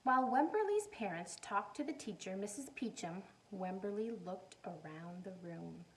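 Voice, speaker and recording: A woman teacher reading a picture book aloud to young children, animated and encouraging, often putting questions to her listeners. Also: mid-range voice, slight background noise, rough recording. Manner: encouraging, animated, asks questions and pauses for answers.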